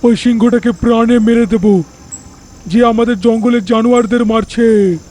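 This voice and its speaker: Angry, high-pitched lion king. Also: fairly even intonation, normal speed, a little hiss or hum. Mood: enraged, commanding. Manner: commanding, shouting threats. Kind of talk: dubbed cartoon voice acting.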